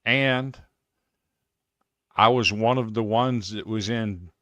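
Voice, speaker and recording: Sports fan guest, American man, fifties. Low, clean voice, casual storyteller. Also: slow pace, fairly bright tone, no echo. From Iowa, United States. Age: 50s.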